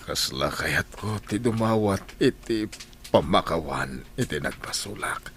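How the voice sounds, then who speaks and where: An actor with an Ilocano accent playing a grave, solemn doctor in a radio drama. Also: male voice, sits low, animated, a little slow, a bit bright. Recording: faint noisy ambience.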